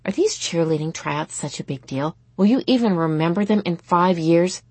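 A woman's voice: on the low side, moderate pace, darkish tone, husky, dry recording. A woman voicing a sulky, defiant thirteen-year-old girl in a storybook, curt and indignant. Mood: scornful.

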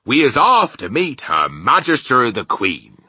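Big friendly storybook giant, quirky broken grammar, swoops way up high, gets loud and fussy. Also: male voice, huge pitch swings, a little slow, husky, no room sound, exaggerated voice. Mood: exuberant. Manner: quirky ungrammatical speech with invented words. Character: big friendly giant, male, gentle, excitable, fussy about his ears.

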